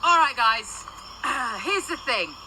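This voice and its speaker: Animated, flippant British ghost woman. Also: high-pitched, slow pace. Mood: firm, flippant. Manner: flippant, sardonic, chatty. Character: irreverent, wisecracking female ghost sent to negotiate. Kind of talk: naturalistic radio drama acting. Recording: loud ambient noise behind.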